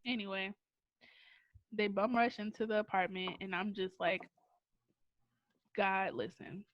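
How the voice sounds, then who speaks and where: A young woman co-hosting a podcast, telling a story in a dry, deadpan way. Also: mid-range voice, unhurried, slight background noise. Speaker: podcast co-host. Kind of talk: casual storytelling chat. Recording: dull, dry podcast audio.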